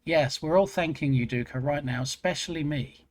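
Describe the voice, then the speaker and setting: A man's voice: on the high side, normal speed, a little mellow. A man streaming live, chatting casually and joking at his own expense. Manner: chatty, self-mocking, rambling. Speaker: game dev streamer.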